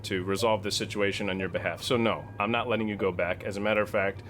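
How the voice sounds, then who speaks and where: A man who edits the show, playing a captain in a tabletop game with firm, deliberate speech. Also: on the low side, fairly even intonation, a bit bright, a little hiss or hum. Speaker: player and editor.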